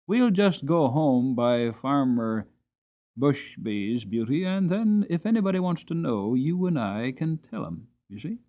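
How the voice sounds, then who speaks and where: A man's voice: mid-range voice, sing-song, measured pace, narrow, tinny audio. A man voicing a plain-spoken, horse-loving groom with a folksy, frank manner in a storybook reading. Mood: musing.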